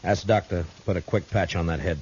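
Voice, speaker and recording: Man playing weary Western lawman, low-pitched, controlled, terse commands, 1950s radio drama, light background hiss. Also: fairly even intonation, fairly quick, darkish tone, natural voice. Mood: steady, commanding. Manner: terse, controlled, dry delivery. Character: calm, stern, world-weary frontier marshal. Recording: scratchy, muffled 1950s radio recording.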